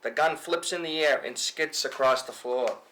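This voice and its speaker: Bright-voiced man narrating a film script. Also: fairly high pitch, moderate pace, rough recording. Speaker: reader of stage directions. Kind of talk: scripted narration at a table read.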